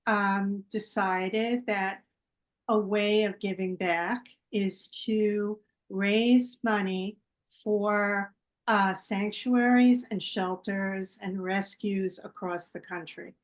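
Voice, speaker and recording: Woman, event host, slow unhurried talk, many ums and pauses. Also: medium pitch, steady tone. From United States. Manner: slow and halting, with many fillers. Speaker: team captain and event host. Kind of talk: casual introduction.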